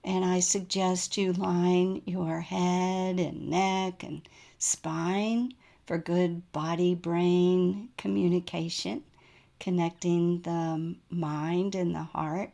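Female meditation guide, slightly low-pitched, gentle and soothing, very unhurried delivery for yoga nidra. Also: little pitch movement, creaky.

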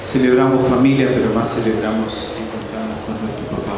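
A man's voice: fairly low pitch, flat delivery, a little fast, background noise. A man exhorts a congregation phrase by phrase, leading prayer as a pastor. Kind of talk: altar call and prayer. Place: stage with band before a congregation, stone wall and plants. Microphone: handheld.

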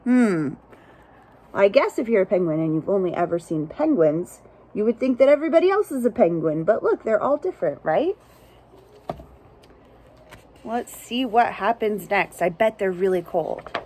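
A young adult woman reading a picture book aloud to children outdoors, with expressive, chatty asides and simple questions for young listeners. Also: mid-range voice, moderate pace, long pauses, a little hiss or hum. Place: outdoors under a shelter among pine trees.

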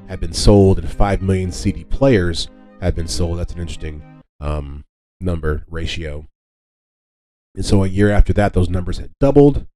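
A man with a deep, mellow voice hosts a solo podcast. He talks quickly in a casual, explanatory way, often drifting into rambling asides as he goes. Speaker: podcast host.

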